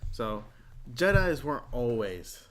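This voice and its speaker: A man's voice: mid-range voice, slow pace. Rambling, foul-mouthed male podcast host. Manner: rambling, profane, animated retelling. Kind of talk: casual podcast chat.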